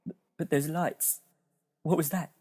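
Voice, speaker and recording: A man playing an earnest, awed and grateful painter in an audio drama, deferential, with a husky voice. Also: fairly high pitch, steady tone, unhurried, darkish tone. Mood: frightened.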